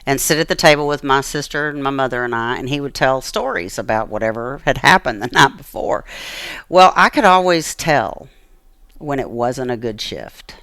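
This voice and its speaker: American lady guest telling her own story, bright forceful voice, emphatic, dry close sound. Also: rumbling low, expressive, normal speed, no room sound. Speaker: interview guest. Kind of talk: personal storytelling in conversation.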